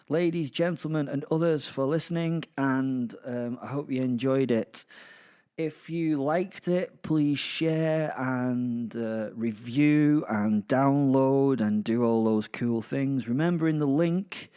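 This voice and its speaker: A man hosting and narrating an audio drama podcast in an informal, halting, self-deprecating way. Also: mid-range voice, mellow. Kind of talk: first-person audio drama storytelling and informal address.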